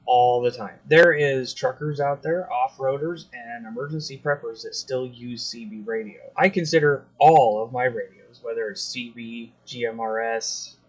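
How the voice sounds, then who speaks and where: An American man hosts a hobby video in a casual, explanatory way. His voice sits at an average pitch and he talks straight to his viewers. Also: normal speed, few pauses, poor audio. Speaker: video host, CB radio hobbyist.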